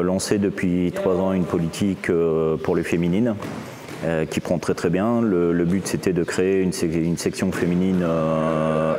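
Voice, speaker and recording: A man's voice: low-pitched, background noise. A man who coaches at a boxing club answers interview questions, hesitating often with fillers and restarts.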